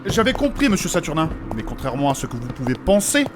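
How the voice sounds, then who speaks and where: Man acting a prim, high-pitched pious mage. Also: very animated, quick pace. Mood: insistent, explanatory. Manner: formal, fussy, earnest. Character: prim, pious, cautious male fantasy mage. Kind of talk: French fantasy comedy audio drama dialogue. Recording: voice over prominent music bed.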